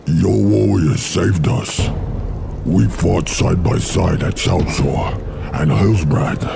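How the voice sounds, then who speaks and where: Game troll warlord: proud and grim, extremely gravelly, slow solemn delivery, loud score underneath. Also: male voice, sits low, over loud music, heavily acted. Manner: solemn, non-standard folksy grammar. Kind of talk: video game cutscene acting.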